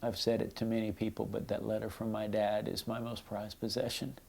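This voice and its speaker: Middle-aged male, mellow with vocal fry, reading and reflecting on a personal letter as a son. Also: lowish voice, flat delivery, fairly quick.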